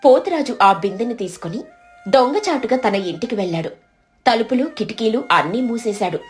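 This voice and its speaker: A woman with a high voice narrates a moral tale from a script. Music plays softly behind her storytelling. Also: animated, normal speed.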